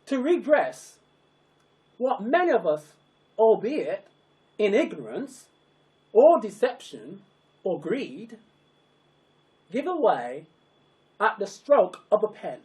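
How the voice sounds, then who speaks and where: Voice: mid-range voice, sing-song, slow, long pauses, mellow, rough recording. A narrator delivering a scripted public address in solemn, emphatic, declamatory style, breaking sentences into short, weighted phrases.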